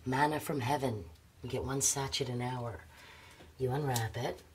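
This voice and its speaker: A woman's voice: a bass voice, fairly even intonation, normal speed, a little mellow, a little hiss or hum. An actress playing a jaded, drug-dependent woman resigned to her life, languid and intimate, in a radio drama.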